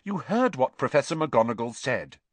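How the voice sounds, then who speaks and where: A man voicing a bossy, brainy schoolgirl with a brisk, superior manner for an audiobook. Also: medium pitch, sing-song, no room sound, voice pushed up. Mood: calm, airy. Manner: brisk, know-it-all, cool. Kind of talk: audiobook character voice.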